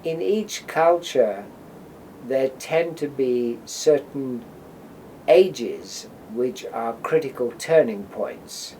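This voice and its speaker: A man, a psychology professor, explaining in a measured documentary interview with pauses. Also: mid-range voice, lively intonation, noisy background.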